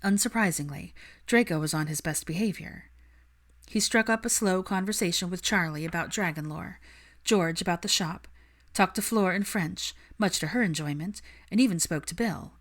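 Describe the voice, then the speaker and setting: A woman's voice: lowish voice, normal speed. A woman reading a story aloud as a narrator, in a scripted storytelling style. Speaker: audiobook-style narrator of fan fiction.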